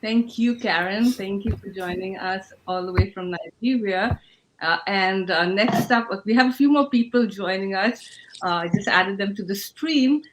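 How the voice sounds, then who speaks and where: A woman's voice: medium pitch, normal speed, background noise. A woman hosting a casual livestream over a video call, upbeat and encouraging, often restarting her sentences. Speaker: host of a livestreamed hackathon session.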